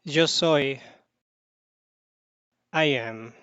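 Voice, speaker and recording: A Spanish teacher's voice opening a lesson and reciting verb forms in a slow, measured way. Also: mid-range voice, fairly bright tone, clear, resonant tone, dry recording. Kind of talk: lesson introduction and conjugation drill. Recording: slightly dull lo-fi audio.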